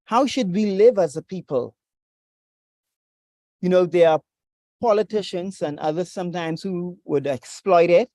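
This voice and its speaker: A preacher speaks in a measured, deliberate way, reading and teaching over a video call. His voice sits at a middle pitch, and the sound is dry and close. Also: warm tone, no echo. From Bahamas. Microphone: video call with screen share.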